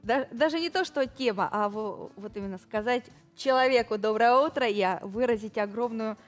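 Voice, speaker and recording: A woman's voice: a little high, normal speed, soft background music. A woman hosting a live morning show interview in an effusive, eager, conversational way. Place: TV studio.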